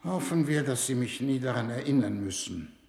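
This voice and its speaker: A formal diplomat type, shrewd, bit of gravel, roomy 60s radio play sound. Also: male voice, mid-range voice, animated, moderate pace, a little mellow. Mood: probing, ironic. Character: shrewd, formal foreign minister leading a space mission. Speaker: actor in radio drama. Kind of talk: 1960s German-language radio drama acting. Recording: roomy 1960s radio studio recording.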